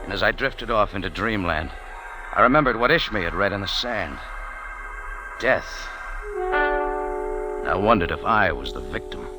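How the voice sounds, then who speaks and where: A man's voice: lowish voice, animated, unhurried, long pauses, poor audio. A man playing a wry, tough adventurer with an American accent, narrating his story in dramatic old radio style. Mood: dreamy, ominous. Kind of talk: 1940s-50s hardboiled radio drama narration. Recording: vintage radio, music behind.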